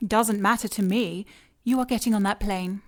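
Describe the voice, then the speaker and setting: An actress playing a cool, commanding villain boss in an audio drama, composed, precise and dryly condescending. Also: female voice, mid-range voice, moderate pace, natural voice. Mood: unyielding.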